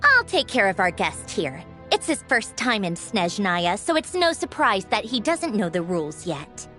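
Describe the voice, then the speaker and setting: A woman's voice: medium pitch, big pitch swings, moderate pace, sharp tone. An actress voicing a poised, sardonic female Fatui Harbinger in a video game, cool and composed, with faint music behind.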